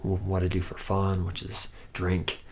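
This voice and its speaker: A man's voice: deep voice, completely flat delivery, unhurried, gravelly, background noise. A man playing a laid-back, boozy womanizing factory worker in an ASMR roleplay, talking in a casual, slangy ramble. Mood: mildly grumbling.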